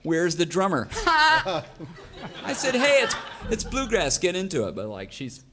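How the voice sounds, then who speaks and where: An actor playing a dim, excitable young surfer cowboy with a Californian surfer accent and drawling slang, in a live comedy radio show. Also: male voice, high voice, takes it slowly, full character voice. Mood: amazed, chatty. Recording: live stage recording with audience, noisy.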